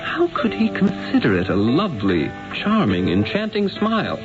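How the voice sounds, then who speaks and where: An actor playing a proud, witty master painter in a radio drama, speaking in a theatrical, haughty and eloquent manner. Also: male voice, on the high side, huge pitch swings, moderate pace. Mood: wry narrating. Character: proud, witty, grandiose male master painter narrating his story. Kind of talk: radio drama acting with first-person narration. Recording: dull vintage radio broadcast with music.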